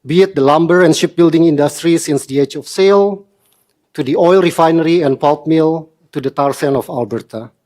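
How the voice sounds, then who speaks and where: A man in his forties from Indonesia, speaking earnestly in a measured, slightly formal way as a member of the public addressing a council meeting. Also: a little high, darkish tone. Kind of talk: prepared public statement.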